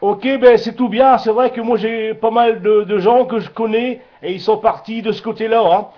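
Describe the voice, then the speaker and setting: A high-pitched man talks casually over a dull two-way radio link. He rambles along as an amateur radio operator, circling back on his words and padding them with little tags. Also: fairly even intonation, normal speed, slight background noise. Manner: rambling, repeats himself, frequent filler tags. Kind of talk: casual radio contact chat.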